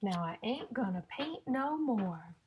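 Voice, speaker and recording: A woman reading a picture book aloud to young children in a sing-song, exaggerated way, pausing to ask and explain like a teacher. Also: mid-range voice, very animated, dull audio, slight background noise. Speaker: children's story-time reader and teacher.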